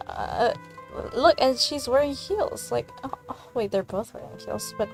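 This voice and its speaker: A woman gives casual gameplay reactions in a fairly high voice. She is slangy and giggly, with unhurried pacing and little exclamations. Faint game music plays behind her. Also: expressive, vocal fry, low-quality recording. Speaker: game streamer.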